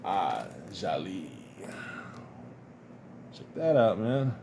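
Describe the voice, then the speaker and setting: Low voiced guy going through his comic haul, slow easy rambling talk, a bit sniffly, noisy background hum. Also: vocal fry. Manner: relaxed, rambling, chuckles often. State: sniffly from dust. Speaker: comic collector vlogger. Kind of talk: casual unboxing chat.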